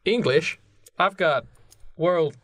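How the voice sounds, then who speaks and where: A man's voice: a little high, takes it slowly, fairly bright tone, slight background noise. A man playing a casual, blunt, laddish schoolboy in a comic radio play.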